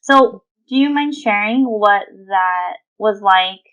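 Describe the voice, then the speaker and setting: A woman's voice: medium pitch, slow pace, a bit bright, lo-fi sound. A woman hosting a podcast, asking questions in a conversational interviewing style.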